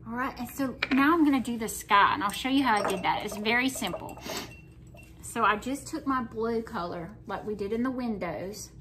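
A middle-aged woman with a Southern US accent talks viewers through her painting in a folksy, chatty way. Her voice sits a little high for a woman. A faint hiss of room noise hangs under the overhead phone recording. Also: normal speed. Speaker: craft painting host. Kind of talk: casual painting tutorial. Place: home kitchen table, indoors.

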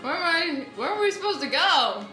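A woman's voice: very high-pitched, sing-song, moderate pace. A woman chatting casually as she plays a video game. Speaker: let's play gamer. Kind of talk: casual chat while playing a video game. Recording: roomy sound, game music behind. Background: video game music.

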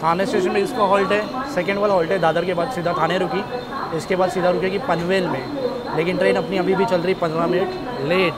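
A man's voice: high voice, steady tone, a little fast, a loud crowd. A man in his thirties, a travel vlogger chatting to viewers as he rides a train, filmed on a phone at arm's length. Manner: chatty, addresses viewers as guys. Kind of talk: casual train travel vlog. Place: train coach and station platforms. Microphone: phone held at arm's length. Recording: loud train and station noise.

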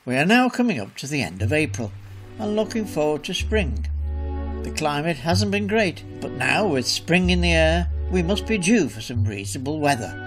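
A man's voice: on the high side, big pitch swings, normal speed, music in the background. A man reading scripted newsreel narration.

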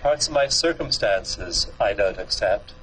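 Calm man leading a tapping session. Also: fairly low pitch, measured pace, a little hiss or hum, poor audio. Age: middle-aged. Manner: calm, leads short phrases for repetition, with gentle humor. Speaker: tapping practitioner. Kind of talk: guided tapping demonstration. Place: small room with green walls, seated.

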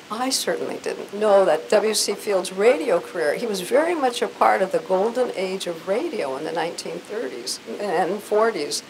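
An older American woman giving an informal, effusive talk to an audience on a handheld microphone, emphatic and sometimes halting. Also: medium pitch, vocal fry, poor audio. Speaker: event presenter. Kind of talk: informal live public talk. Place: hotel conference room before a seated audience.

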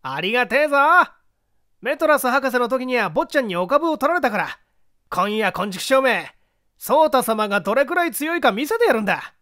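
A reader voicing a brash young tough henchman who shouts and boasts roughly in an audiobook story. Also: mid-range voice, sing-song, brisk, pitched above the performer's own voice. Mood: defiant, boastful.

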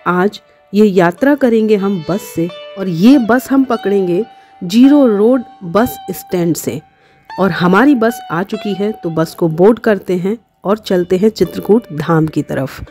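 A woman's voice: mid-range voice, a little slow, mellow, faint music behind. A woman narrates a travel vlog, devotional in manner and speaking straight to viewers.

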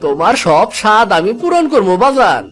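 A man's voice: high-pitched, big pitch swings, moderate pace, a bit bright. A man voicing a fisherman's eager, loving young son with a rural Bangladeshi accent in a cartoon. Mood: hopeful. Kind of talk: cartoon voice acting.

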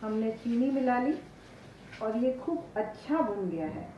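A woman's voice: on the high side, animated, measured pace. Older Indian woman, echoey kitchen cooking lesson. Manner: instructional, explains step by step. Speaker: chef, cooking show host. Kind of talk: cooking demonstration to camera. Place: home kitchen, indoors. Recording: echoey, muffled kitchen recording with noise.

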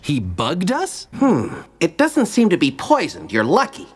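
A man with an American accent voicing a cocky, wisecracking, lecherous gentleman thief in a flippant, joking way for a cartoon dub. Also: a little high, huge pitch swings, unhurried, very noisy. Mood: mock offended. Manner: flippant, joking, exaggerated swings. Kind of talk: animated comedy dub acting. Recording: loud background music.

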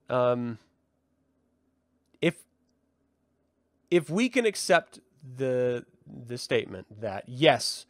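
A man's voice: medium pitch, no room sound, studio quality. A man hosting a live talk, reflecting aloud with rhetorical questions and emphatic stresses. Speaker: livestream host and religious reverend. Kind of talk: reflective live monologue to an online audience.

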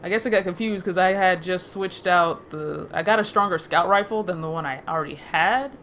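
A woman's voice: fairly low pitch, fairly quick, a bit bright, a little hiss or hum. A woman casually commenting on her own gameplay, chatty and laughing, swearing, and talking to herself. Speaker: let's play gamer.

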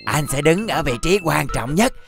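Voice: medium pitch, expressive, gravelly. An actor voicing a vain, bossy young would-be superstar in a children's cartoon, boastful and pompous. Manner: boastful, pompous, drawn-out grand phrases. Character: vain, bossy boy superstar-wannabe pencil character. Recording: music behind the voice.

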